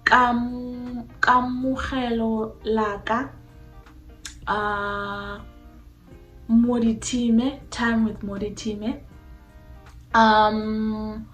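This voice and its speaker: A woman's voice: a little high, flat delivery, extremely slow, long pauses, a bit bright. A young South African woman whose first language mixes Xhosa and Zulu, chatting casually and informally to viewers in a question-and-answer vlog. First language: a mixture of Xhosa and Zulu. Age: young adult. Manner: informal, uses "like" constantly. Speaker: YouTuber answering personal questions. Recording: echoey room with faint music.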